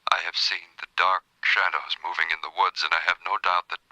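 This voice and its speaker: An actor playing a grave, scholarly archaeologist haunted by demons, speaking solemnly in a horror film's recorded journal. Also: male voice, sits low, little pitch movement, sharp tone, no echo. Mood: grave dread. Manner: solemn, measured, formal scholarly diction. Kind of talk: scripted horror film recorded-journal narration. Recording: noisy old reel-to-reel tape playback.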